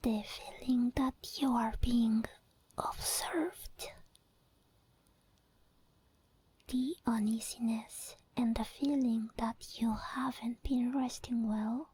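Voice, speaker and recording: A woman voicing an obsessed, possessive female sleep paralysis demon in an ASMR roleplay, in a breathy, teasing, intimate whisper. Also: a little high, steady tone, takes it slowly, warm tone, a little hiss or hum.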